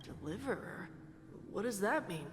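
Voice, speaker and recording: A woman voicing an earnest, stubborn young village boy in a video game, with a childlike, pleading, expressive manner. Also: medium pitch, normal speed, long reverb tail, lightly acted. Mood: impatient, curious.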